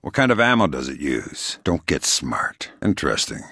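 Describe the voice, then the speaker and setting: An actor playing a hardened, sardonic middle-aged covert operative in a video game, gruff and terse, with dry deadpan delivery. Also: male voice, a bass voice, moderate pace, no echo, exaggerated voice. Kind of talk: video game character dialogue.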